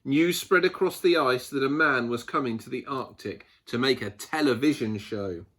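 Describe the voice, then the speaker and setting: A man reading a picture book aloud to children, expressively, with dramatic pauses and emphasis. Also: medium pitch, a bit bright. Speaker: children's storyteller.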